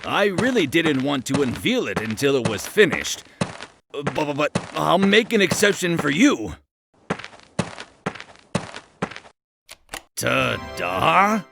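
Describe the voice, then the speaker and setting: A man voicing a timid, kindly older inventor in a cartoon comic dub, with a hesitant, gentle manner. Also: fairly high pitch, big pitch swings, a little slow, creaky, background noise, heavily acted. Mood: nervous, eager.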